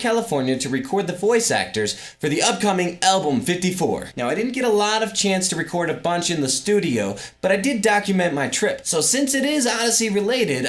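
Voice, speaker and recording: A man's voice: on the high side, fairly bright tone. A young man hosting a podcast, telling a story as upbeat, playful scripted vlog narration. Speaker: podcast host.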